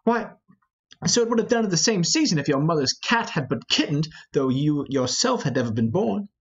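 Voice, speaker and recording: A man's voice: on the high side, big pitch swings, moderate pace, husky, lo-fi sound. A man playing a hot-headed, mocking young rebel nobleman in a Shakespearean play reading, scoffing and impatient.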